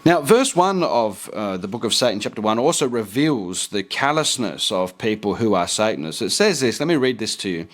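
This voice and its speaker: A man's voice: lowish voice, very animated, normal speed, hardly any pauses. Earnest man preaching and reading aloud. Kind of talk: preaching commentary with read-aloud quotations.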